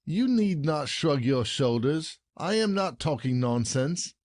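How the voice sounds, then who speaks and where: A man's voice: a little high, very animated, slow pace, a little mellow, dry recording, clean studio sound. A man playing an indignant, proud, jealous husband in a classic comedy audio play, scolding in formal old-fashioned phrasing.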